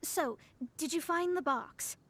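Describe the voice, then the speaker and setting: A woman's voice: sits high, slow, fairly bright tone, soft background music. A woman voicing a haughty young astrologer in a video game, with pompous, formal wording. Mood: bored, dismissive.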